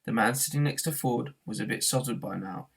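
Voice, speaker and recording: A man reading a novel aloud as an expressive narrator, in the way of a teacher reading to a class. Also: medium pitch, a little fast, creaky.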